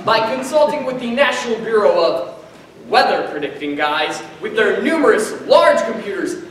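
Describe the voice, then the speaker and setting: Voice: medium pitch, sing-song, normal speed, fairly bright tone. A teenager in a school readers theater, delivering a mock-scientific explanation. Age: teens. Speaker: student performer. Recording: echoey room from far off with noise.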